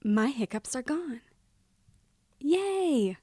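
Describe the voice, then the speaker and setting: A woman voicing an earnest young schoolboy in a children's storybook, in an expressive, childlike manner. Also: fairly high pitch, measured pace, no room sound, clean studio sound, exaggerated voice. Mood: relieved. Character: earnest schoolboy troubled by hiccups.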